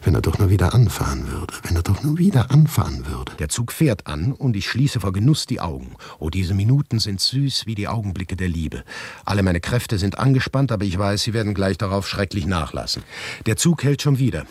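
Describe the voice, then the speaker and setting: An actor playing an anguished, paranoid writer who narrates his grotesque misadventure in a measured, inward radio monologue, with a husky low voice that slips into whispers. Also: very animated, darkish tone, no room sound, heavily acted. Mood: whispered, tense dread, then strained, pained. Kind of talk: literary radio monologue, first-person narration.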